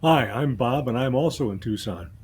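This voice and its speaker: A man's voice: mid-range voice, lively intonation, normal speed, a little hiss or hum. A man in his seventies speaking as a podcast panelist, wry and self-mocking. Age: 70s. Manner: wry, joking about his age. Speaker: panelist, user group officer. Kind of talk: casual panel chat.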